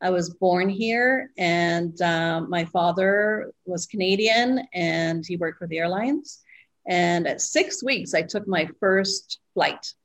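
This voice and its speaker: A middle-aged woman speaks as an interview guest, her voice sitting a little low. She recalls her past slowly and reflectively, stopping often to search for words. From Costa Rica, raised abroad. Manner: reflective, pausing with fillers. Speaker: guest, retreat owner.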